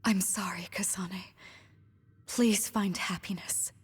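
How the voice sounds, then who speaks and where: An actress playing a gentle, protective mother figure, earnest and tender, in an English video game dub. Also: medium pitch, fairly even intonation, slow, slightly rough, big-room sound. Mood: tender, sorrowful. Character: gentle, protective motherly woman, pleading and self-sacrificing.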